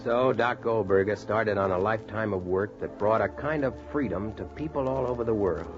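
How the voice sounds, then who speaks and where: An American man acting an earnest, determined doctor and storyteller in a measured 1940s radio style. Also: fairly low pitch, lightly acted. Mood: stirring, solemn. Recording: muffled vintage radio, music behind.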